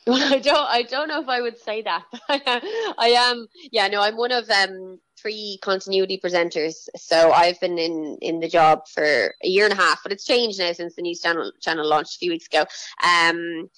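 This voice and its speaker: A woman's voice: medium pitch, moderate pace, fairly bright tone, no room sound, low-quality recording. A young Irish woman, a children's TV presenter, talking as a guest in a radio interview, chatty with many fillers and restarts. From Ireland.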